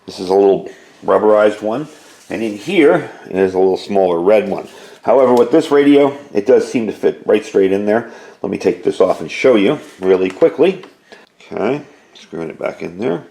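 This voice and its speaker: A man's voice: fairly low pitch, lively intonation, normal speed, vocal fry, dark tone. A man giving a casual, informal product review, explaining details as he goes, recorded indoors at home. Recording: roomy indoor echo.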